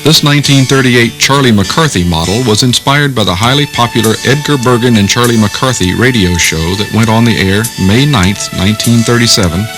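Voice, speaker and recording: A man's voice: mid-range voice, brisk, few pauses, music in the background, rough recording. A man reading scripted documentary narration.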